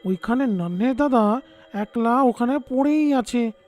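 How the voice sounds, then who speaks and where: High slow fussy village guy lady voicing him, cartoon, drawn-out words. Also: a little mellow, faint music behind, close to an everyday voice. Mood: complaining. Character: earnest village man, fussy peacemaker. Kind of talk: cartoon character acting.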